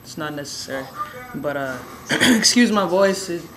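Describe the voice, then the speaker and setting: A young woman with a raspy, hoarse voice telling a personal story in a relaxed, rambling way with many fillers. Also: sits low, normal speed, background noise. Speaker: vlogger. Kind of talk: casual personal storytelling to camera.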